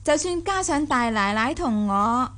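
A woman playing a shrewd, lively young mistress of a rich household in a Cantonese audio drama, chatting and explaining smoothly. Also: high voice, measured pace. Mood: teasing, flattering.

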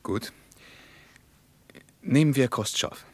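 An actor playing a skeptical, probing West German man in a radio play, speaking in a deliberate, pointed way. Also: medium pitch, slightly rough, dry recording. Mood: measured, critical.

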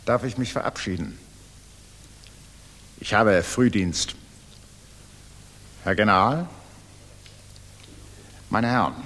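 A bitter, sneering zealot of a newspaperman, with a bright, forceful voice and animated pitch. He is stiff and indignant, in a reverberant old radio play. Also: slow, long pauses, exaggerated voice. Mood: offended, stiff. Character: resentful, fanatical male Nazi journalist with a doctorate. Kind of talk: 1960s German radio drama acting. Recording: reverberant mono 1960s radio recording.

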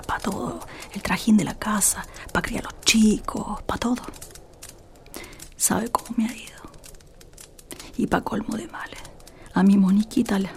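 A woman playing a troubled, humble rural mother in a radio drama, speaking earnestly in a breathy voice with a northwestern Argentine accent. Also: medium pitch, natural voice. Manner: earnest, plaintive, rustic.

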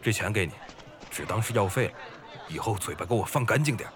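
A man's voice: fairly low pitch, expressive, fast, background noise, close to an everyday voice. An actor playing a young, upright scholar turned fisherman, reserved and formal, in an audio drama. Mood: stern.

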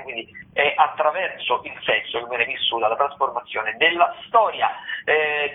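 A man hosting a radio show, presenting listings in a halting way, half reading notes and half commenting off the cuff. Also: mid-range voice. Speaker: radio host. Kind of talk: theatre listings round-up, semi-scripted radio talk. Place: radio broadcast. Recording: narrow, phone-like radio sound.

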